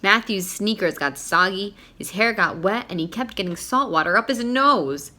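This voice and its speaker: A woman's voice: medium pitch, animated, normal speed, pushed and bright. A woman reading a children's picture book aloud expressively, stopping to put questions to young listeners.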